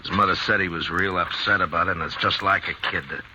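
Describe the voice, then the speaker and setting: A man playing a steady frontier town marshal in a vintage-style radio drama, speaking calmly and firmly. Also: low voice, quick pace, close to an everyday voice. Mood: calm resolve. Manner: calm, firm, gentle. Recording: noisy audio.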